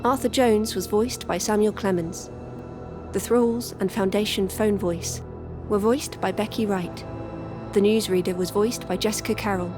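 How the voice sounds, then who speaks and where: A woman announcer reading scripted end credits for an audio drama in a measured way. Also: mid-range voice, steady tone. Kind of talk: scripted podcast end credits over music. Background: music.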